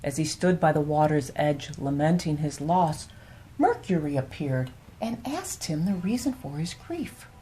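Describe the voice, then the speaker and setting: Female bedtime storyteller, slightly low voice with a soft, mellow tone, soothing and unhurried reading of fables. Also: expressive, a little hiss or hum.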